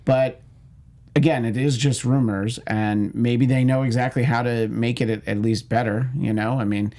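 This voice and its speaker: A man's voice: medium pitch, steady tone, normal speed, mellow. A man co-hosting a panel show, talking through his thoughts in casual discussion. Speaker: panel co-host.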